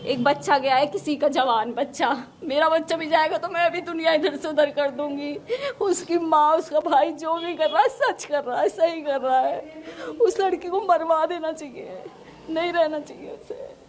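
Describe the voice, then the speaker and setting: High-voiced middle-aged woman, emphatic. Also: normal speed, slight background noise. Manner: emphatic, repeats herself. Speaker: mother being interviewed. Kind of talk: emotional interview answers.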